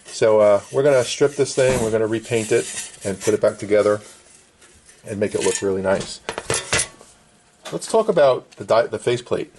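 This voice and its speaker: Middle-aged male restorer, slightly low voice, casual plain-spoken bench demo, faintly noisy camera sound. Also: normal speed, long pauses, darkish tone. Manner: plain, short repetitive explanatory phrases. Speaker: vintage radio restorer and presenter. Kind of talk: casual hands-on repair demonstration. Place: indoor workbench.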